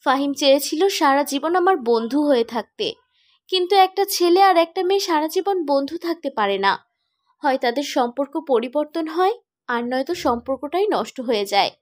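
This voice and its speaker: A woman playing a hurt, stubborn young woman in love in an animated drama. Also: high voice, brisk. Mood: hurt, resolute. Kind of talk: animated romantic drama dialogue.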